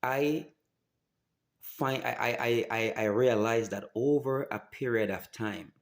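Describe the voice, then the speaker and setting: A man, telling his personal story to camera in a halting, earnest way with many restarts. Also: mid-range voice, gravelly. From Jamaica. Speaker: vlogger.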